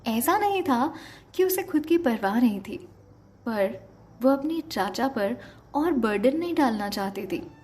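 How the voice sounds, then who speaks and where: A woman's voice: a little high, animated, unhurried, darkish tone. A woman narrating an emotional audio story as a storyteller. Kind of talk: dramatic story narration with acted dialogue.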